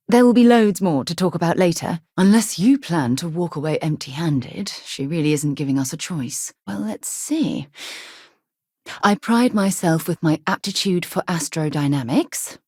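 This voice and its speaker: An actress playing an earnest, principled woman space explorer and leader in a video game, with a crisp, articulate, slightly husky delivery. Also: on the low side, animated, measured pace, darkish tone, dry recording, studio quality, full character voice. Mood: composed. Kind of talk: scripted game dialogue.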